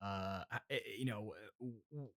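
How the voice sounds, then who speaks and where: A man's voice: fairly low pitch, measured pace, a bit bright. A man hosting a casual improvised comedy interview, stammering and hesitant, with a politely incredulous manner. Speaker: podcast host interviewing a guest.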